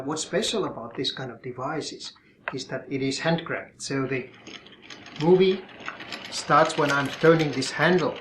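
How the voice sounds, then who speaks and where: A man from Finland, a professor calmly explaining and demonstrating his collection in his office in an interview. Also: medium pitch, noisy background. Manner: calm, explanatory, demonstrating objects as he talks.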